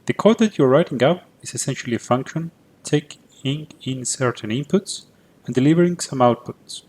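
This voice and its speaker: Greek Cypriot man, 30s, tech presenter; clear, methodical delivery at a middle pitch. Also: normal speed, darkish tone, a little hiss or hum. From Cyprus. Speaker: conference presenter. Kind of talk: prepared conference talk.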